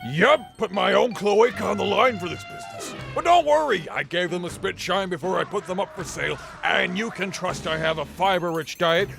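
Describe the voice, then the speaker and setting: A man's voice: high voice, expressive, normal speed, creaky, few pauses, music in the background. A man voicing an irritable, paranoid animal-person fruit vendor in an anime parody, blustering with indignation. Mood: proud.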